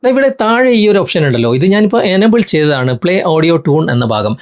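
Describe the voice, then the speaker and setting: A man's voice: fairly high pitch, very animated, fast talker. A man with a Malayali accent hosting a tech tutorial, explaining phone steps in an instructional, step-by-step way straight to viewers.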